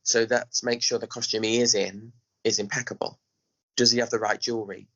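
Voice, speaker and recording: Bright, forceful Englishman in casual interview. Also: fairly low pitch, flat delivery, brisk, dull audio. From England. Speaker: podcast guest, pantomime director.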